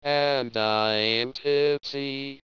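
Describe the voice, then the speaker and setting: Drunk robot guy machine male voice, dragging words out slow, dry lo-fi audio. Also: medium pitch, fairly even intonation, a bit bright, clean tone, no echo. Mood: tipsy drawl. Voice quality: synthetic, robotic. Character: slow, drawling synthetic tipsy character. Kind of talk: text-to-speech software demo. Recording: dull lo-fi computer audio.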